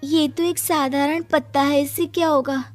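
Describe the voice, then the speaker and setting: A woman's voice: high-pitched, fairly even intonation, moderate pace, close to an everyday voice. A woman voicing a poor, meek young village wife in a cartoon moral tale, with a pleading, plaintive manner. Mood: timid wonder. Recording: music behind the voice.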